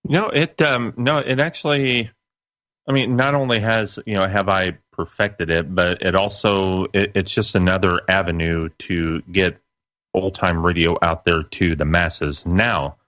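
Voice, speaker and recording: Deep-voiced man in his late forties. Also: steady tone, measured pace, a bit bright, dry recording. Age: late 40s. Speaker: guest, internet radio broadcaster. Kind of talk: casual interview chat.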